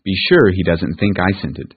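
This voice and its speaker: A man's voice: deep voice, very animated, normal speed, husky, exaggerated voice. A man putting on a cold, stern aunt. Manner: cold, sharp. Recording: tinny lo-fi recording.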